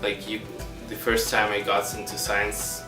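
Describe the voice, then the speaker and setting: A man's voice: lowish voice, monotone, unhurried, roomy sound, far from the mic, over music. A young man from Ukraine with a Ukrainian accent, a university student speaking thoughtfully with some hesitations. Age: young adult. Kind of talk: interview testimonial.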